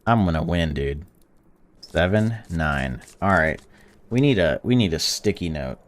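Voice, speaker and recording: Very deep-voiced man reading a game aloud. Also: measured pace. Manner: reads game text flatly, then blurts excited or indignant reactions. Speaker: gaming channel host. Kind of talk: reading a visual novel aloud while playing.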